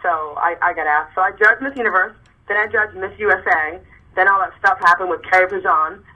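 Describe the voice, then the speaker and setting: A young woman with a raspy voice, tired from little sleep, chatting as an interview guest and rambling with many restarts. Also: on the low side, a little fast, dull audio. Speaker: interview guest, model and pageant host. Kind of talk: casual chat.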